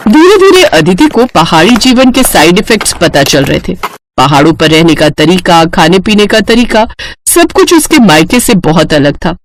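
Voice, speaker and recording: A woman's voice: on the low side, very animated, normal speed, a little hiss or hum. A woman narrating a scripted story.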